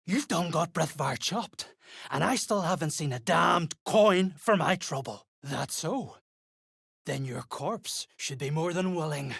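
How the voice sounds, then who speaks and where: An actor playing a coarse, greedy duergar mercenary in a fantasy video game, speaking gruffly in rough, sweary slang. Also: mid-range voice, animated, a little slow, a bit bright, dry recording, clean studio sound, heavily acted. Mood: bitter, menacing. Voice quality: gravelly, husky.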